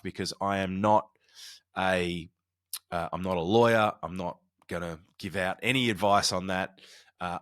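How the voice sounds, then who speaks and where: A man with a low-pitched voice talks solo as a podcast host and coach, giving practical advice. His delivery is conversational and informal, often halting to restart a phrase. The sound is close and dry, with very little room to it. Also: a bit bright, dry recording. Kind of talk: conversational solo podcast talk, explaining and advising.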